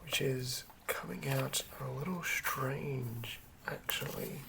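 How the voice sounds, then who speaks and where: ASMR host, breathy whisper, very unhurried, gravelly fry, gentle delivery for tabletop fantasy. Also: medium pitch, heavy background noise. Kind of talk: whispered ASMR, chatting and reading aloud from a game manual.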